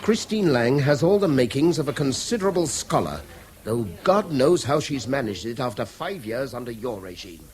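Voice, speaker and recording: Man acting middle-aged british schoolmaster, educated and testy, very lively intonation, old radio drama. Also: mid-range voice, normal speed, lightly acted. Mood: cutting. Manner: articulate, testy. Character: proud, irascible educated schoolmaster, an older man.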